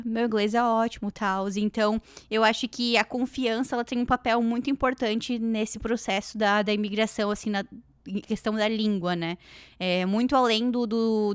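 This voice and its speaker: A woman's voice: fairly high pitch, clean tone, dry recording. A woman, hosting a podcast interview in an encouraging way. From Brazil. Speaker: podcast host. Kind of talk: casual interview chat. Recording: dry close sound.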